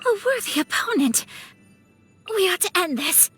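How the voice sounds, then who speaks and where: A woman's voice: very high-pitched, big pitch swings, moderate pace, faint music behind, exaggerated voice. A woman voicing a refined, dutiful young noblewoman swordswoman from a video game, formal, poised and reserved in manner. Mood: battle cries. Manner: formal, poised, polite, softly earnest. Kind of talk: video game character voice lines.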